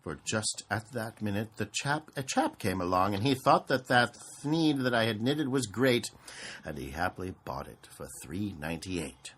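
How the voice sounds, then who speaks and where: A man narrates a rhyming story aloud in a lowish voice with very lively intonation. The recording has faint noise behind him. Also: normal speed. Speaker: storybook reader.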